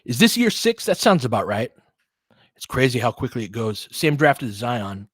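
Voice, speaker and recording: Male basketball commentator, mid-pitched, informal and slangy, breaking down tactics as play goes on. Dry, close recording. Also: big pitch swings, normal speed, a bit bright, breathy edge, no room sound. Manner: conversational, slangy, explains tactics in detail. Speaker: play-by-play announcer and analyst.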